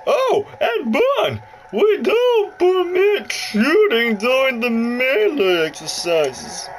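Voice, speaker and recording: A woman's voice: high-pitched, sing-song, background noise, voice pushed up, heavily acted. A woman voicing a bossy older female spy chief from a video game, reading orders in a theatrical, stilted way. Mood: commanding. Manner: theatrical, stilted, drawn-out commands. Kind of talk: video game dialogue read aloud. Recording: loud game music behind.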